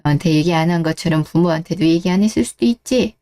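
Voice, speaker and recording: A woman's voice: fairly low pitch, fast, mellow, voice pushed down, natural voice. A woman playing a caring, perceptive older father in a scripted radio drama. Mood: probing, reasoning.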